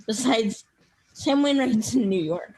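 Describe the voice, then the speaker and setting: A woman playing a gently meddling middle-aged mother in a radio play, coaxing her grown son in a husky voice. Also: on the high side, expressive, measured pace, rough recording. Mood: insistent. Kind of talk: old-fashioned radio play acting.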